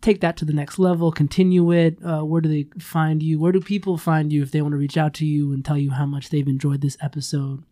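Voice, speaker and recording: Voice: mid-range voice, flat delivery, brisk, hardly any pauses, dark tone. An effusive, encouraging radio host speaking casually in an interview, praising and thanking the guest.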